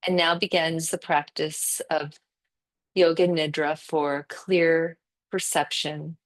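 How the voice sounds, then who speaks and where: A woman teaching yoga nidra with a very slow, spacious delivery. Her voice sits a little low, and she gives gentle step-by-step cues for breathing and settling the body, leaving room between phrases. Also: level tone. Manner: gentle, unhurried guiding with pauses. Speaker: yoga instructor.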